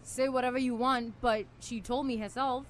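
A woman's voice: a little high, fairly even intonation, normal speed, natural voice. A woman acting an earnest, lovestruck young male student in a live amateur radio play. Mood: defiant. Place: small college radio studio.

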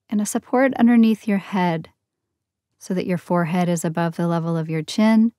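A woman leading a guided meditation in a soothing, gentle, unhurried manner with long pauses between phrases. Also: lowish voice, no echo, studio quality. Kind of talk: guided yoga nidra meditation.

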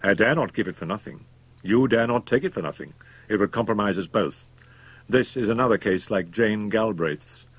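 A man voicing a worldly, domineering young doctor who is a murderer, speaking in a calm, emphatic, persuasive way. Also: lowish voice, normal speed, breathy edge, no echo, voice pushed up. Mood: cool, businesslike.

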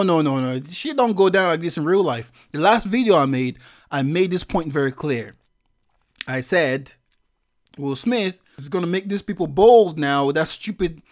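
A man giving a profane, slangy reaction commentary as a vlogger, with loud laughing outbursts. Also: on the high side.

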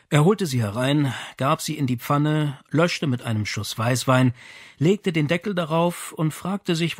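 A man's voice: mid-range voice, slightly rough, no echo, studio quality. A man reading a novel aloud as scripted audiobook narration.

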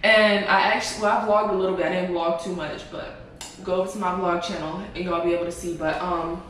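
A woman's voice: fairly low pitch, fast. Young woman vlogger, chatty and giggly, recorded from across an echoey kitchen with background hiss. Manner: giggly, chatty, animated storytelling. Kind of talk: casual vlog chat. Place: home kitchen, indoors. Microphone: camera across the room. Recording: echoey room, far from camera, background noise.

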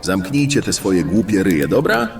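Man acting a very deep, surly fantasy tough. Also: wildly swooping pitch, normal speed, recorded from a distance, faint music behind, full character voice. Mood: irritated. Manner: gruff, curt, surly. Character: irritable, nervous, threatening male fantasy tough guy. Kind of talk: fantasy audio drama acting.

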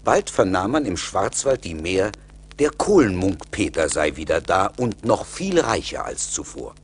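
A man narrating a scripted story as a storyteller, measured and deliberate. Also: low voice, big pitch swings, slight background noise.

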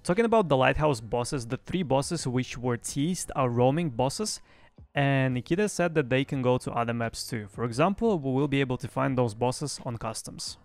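Young guy doing gaming news recap, bit of creak in his voice, faint music behind. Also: medium pitch, animated, normal speed. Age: young adult. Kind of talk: semi-scripted news recap. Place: small home streaming room.

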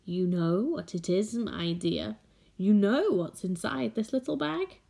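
A tiny, bald, whiskered old man, put on by a woman reading aloud speaks slowly in a creaky, hushed voice. He coaxes in drawn-out whispers, a sly and eerie storybook figure. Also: mid-range voice, lively intonation. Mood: creepy coaxing. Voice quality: slow and creaky. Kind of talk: audiobook character voice.

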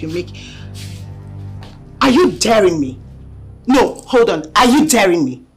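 Woman playing a slow, taunting, menacing rival. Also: lowish voice, slightly rough, heavily acted. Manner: slow and taunting, with scornful laughter. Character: mocking, threatening woman who feels wronged. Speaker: actor.

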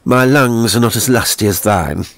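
A man's voice: mid-range voice, moderate pace, a bit bright, pitched above the performer's own voice, close to an everyday voice. A man voicing a delicate, ill-tempered young English nobleman, drunk and muttering curses in a horror story. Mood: irritable. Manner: muttering, grumbling.

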